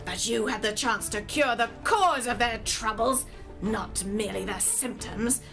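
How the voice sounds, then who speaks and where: A hideous old-tale hag, voiced by a woman, bitter and accusing. She declaims in a high voice, portentous and scolding, as in a theatrical drama, with music clearly underneath. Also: a little slow, fairly bright tone. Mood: accusing, scornful.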